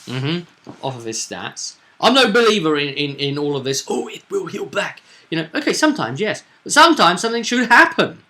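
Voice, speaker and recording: Bright-voiced man, a dramatic game master. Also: fairly high pitch, big pitch swings, a little fast. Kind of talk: casual chat recounting a tabletop roleplay session.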